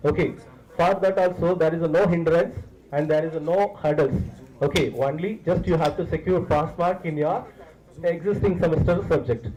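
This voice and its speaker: Indian accent guy, bit high voice, uni director pitching to students, explains stuff in lists and repeats himself. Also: normal speed, darkish tone, poor audio. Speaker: visiting university director of international programs. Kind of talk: promotional address to students.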